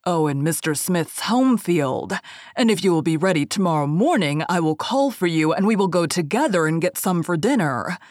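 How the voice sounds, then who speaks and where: Lady doing big bad wolf for a kids story, sly n threatening, drags words out, lowish voice, dry sound. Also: expressive, darkish tone, dry recording, natural voice. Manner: slow, drawn-out, emphatic threats. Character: menacing wily male wolf, coaxing and threatening. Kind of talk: storybook character voice.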